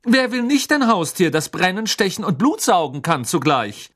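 Man putting on a snooty schoolboy, higher and louder than his normal voice, dry and sarcastic. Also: huge pitch swings, normal speed, heavily acted. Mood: sneering. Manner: drawling, sarcastic. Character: sneering arrogant teenage boy, cold.

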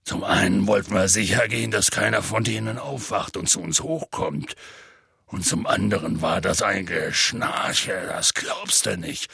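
A man voicing a huge, gruff, good-natured male half-giant who tells a rambling, folksy story in a deep gravelly rumble. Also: measured pace, bright, forceful tone, no room sound, clean studio sound, exaggerated voice. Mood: telling tale. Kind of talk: audiobook character voice.

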